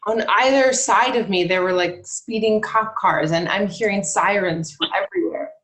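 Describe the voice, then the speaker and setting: In a roomy, echoing space, a woman acts out an alarmed local woman giving testimony for a documentary play. Her pitch is average and her telling is conversational. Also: moderate pace, a bit bright, lightly acted. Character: alarmed local woman recalling a frightening morning. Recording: echoey room over video call.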